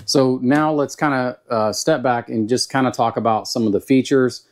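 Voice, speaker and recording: A man with a Southern US accent giving a casual, plain-spoken product review in an unhurried, folksy way. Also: mid-range voice, fairly even intonation. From Southern United States. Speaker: YouTube gear reviewer.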